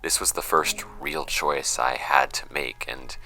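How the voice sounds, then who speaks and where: A man's voice: extremely low pitch, fairly even intonation, vocal fry, pushed and bright, heavily acted, low-quality recording. A man acting a troubled young man in an audio drama, giving a hesitant, introspective confessional monologue. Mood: wry, reflective. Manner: hesitant, introspective, halting phrasing. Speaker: audio drama actor in the lead role.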